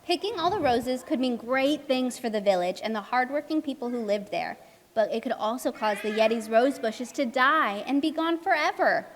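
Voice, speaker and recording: High-voiced woman storyteller in echoey hall. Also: moderate pace, slight background noise. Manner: expressive, addresses young children with simple questions. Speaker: puppet show narrator and children's presenter. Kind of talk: children's storytelling to a live audience. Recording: echoey hall, live show.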